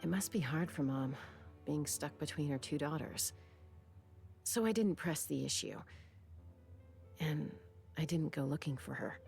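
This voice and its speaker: A guarded young woman from a video game, speaks in a low register with a gravelly fry. She is measured and wistful, reflective as she tells her story, with soft music underneath. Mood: regretful, resolute. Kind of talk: video game dialogue acting.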